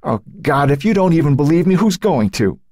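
A man's voice: low voice, sing-song, fast talker, a little mellow, no echo, clean studio sound. A man voicing an anguished, defensive teenage boy in an audiobook, with a tight, breaking voice and pleading outbursts. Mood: desperate. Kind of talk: audiobook character acting.

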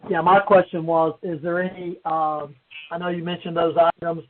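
A man with a high voice and little melody speaks formally as a council member. He comes through a narrow phone line. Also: flat delivery, moderate pace, a little hiss or hum. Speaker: town councilman. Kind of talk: formal meeting questions. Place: conference call. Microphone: telephone.

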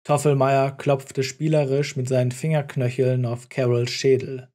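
Male narrator for fiction audiobook; dark, relaxed, mid-pitched read. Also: a little slow. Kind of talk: scripted story narration.

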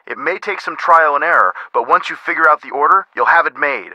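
A man's voice: a little high, a little fast, bright, forceful tone, no room sound, poor audio. An actor playing a jokey, punning man who offers helpful hints in a video game. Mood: folksy, helpful. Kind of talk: video game voice acting, hint dialogue.

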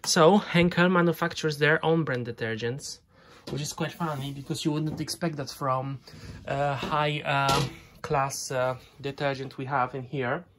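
Slow, hesitant man narrating laundry. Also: medium pitch, steady tone, noisy background. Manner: unhurried, hesitant, chatty explaining. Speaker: laundry vlogger. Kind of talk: casual narration while doing laundry. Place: home laundry area.

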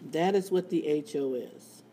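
A woman teaching a statistics lesson in a screencast, with a raspy voice and playful, chatty asides. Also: sits low, unhurried, warm tone, a little hiss or hum. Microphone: tablet screen recording.

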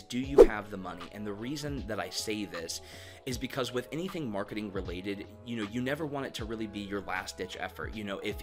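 A man running a marketing agency, giving direct advice to viewers in a casual, conversational explainer full of "you know" and "um". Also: fairly low pitch.

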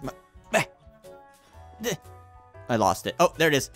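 A young man giving playful, improvised gameplay roleplay commentary in an excitable, mock-dramatic way. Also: fairly high pitch, unhurried, soft background music. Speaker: gaming video player.

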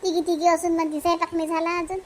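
A woman's voice: very high-pitched, little pitch movement, very fast. A woman voicing a bossy older mother-in-law in a cartoon family comedy, nagging with a Vidarbha accent. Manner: nagging, rhetorical questioning.

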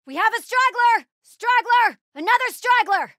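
A zealous female cult warrior from a video game. Her voice is very high and piercingly bright, and it swings widely as she barks hostile, commanding battle cries at her enemies. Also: expressive, unhurried, no echo, clean studio sound, heavily acted. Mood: frantic battle fury. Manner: urgent, commanding, hostile shouting.

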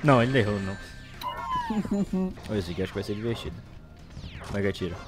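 A Brazilian man doing casual, exasperated gameplay commentary, excitable and full of swearing. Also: fairly low pitch, fast talker, music in the background. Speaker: gaming video host.

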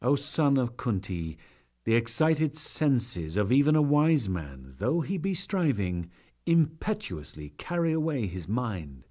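A man giving a dramatic, solemn and measured reading of scripture as an audiobook narrator. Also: medium pitch, very animated, dark tone. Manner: solemn, measured, theatrical delivery with archaic diction.

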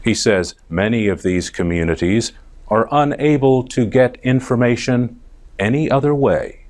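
Slow American man reading a report. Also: mid-range voice, a little mellow. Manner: deliberate, carefully enunciated, phrase-by-phrase delivery. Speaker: news report presenter. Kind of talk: slow scripted narration for English learners. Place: TV studio.

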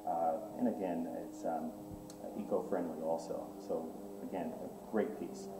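Very deep-voiced shop owner, echoey store. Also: male voice, steady tone, a little slow, recorded from a distance, over music. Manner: eager, repetitive salesman talk with many fillers. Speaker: boutique owner. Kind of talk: product walkthrough in interview. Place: menswear boutique, indoors. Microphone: far camera. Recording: echoey shop sound from off-mic.

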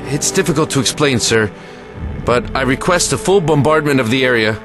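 A man's voice: mid-range voice, expressive, a little fast, over music, close to an everyday voice. A man playing an earnest, rattled army lieutenant in an audio drama, speaking with urgent military formality.

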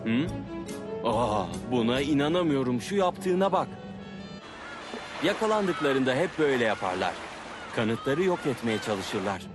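An actor playing a dutiful environmental patrol pilot in a cartoon dub, reporting in a matter-of-fact way. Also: male voice, medium pitch, expressive, unhurried, long pauses, over music, lightly acted. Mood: resolute, businesslike. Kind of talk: animated educational cartoon dub.

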